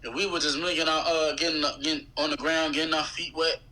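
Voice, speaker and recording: Bright, forceful American man in casual chat. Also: a little high, level tone, quick pace. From United States. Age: 30s. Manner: slangy, conversational. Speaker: guest, rapper.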